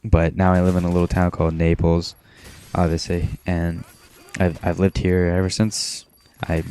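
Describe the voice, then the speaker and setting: A teenage boy from Maine rambling in a self-described monotone, talking casually over his gameplay with frequent restarts. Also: low voice, moderate pace, clear, resonant tone. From Maine, USA. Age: teens. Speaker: gaming commentator.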